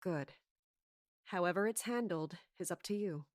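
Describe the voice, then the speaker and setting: A woman playing a scheming, authoritative commander in an audio drama, speaking in a measured way. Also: on the low side, no room sound, studio quality, lightly acted. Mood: cool, commanding. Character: scheming, commanding woman in charge of palace guards. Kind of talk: audio drama acting with first-person narration.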